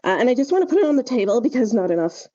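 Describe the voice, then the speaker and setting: A woman with a fairly high yet very mellow, soft-edged voice talks through ideas as a podcast host. She is casual and emphatic, leaning on conversational fillers as she explains. The sound is slightly dull and lo-fi. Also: very animated, very fast. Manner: emphatic, hedging with frequent "like" and "right?".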